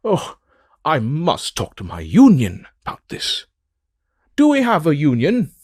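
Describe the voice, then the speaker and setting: A man acts out a smug, flamboyant villain for an audio drama. He drawls at an unhurried pace, swooping widely in pitch with theatrical, mock-aggrieved flourishes. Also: huge pitch swings, a little mellow, slightly rough, exaggerated voice. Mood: mock indignation. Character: flamboyant, smug, theatrical male villain scheming world destruction. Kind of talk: hobby audio drama acting with in-character outtake banter.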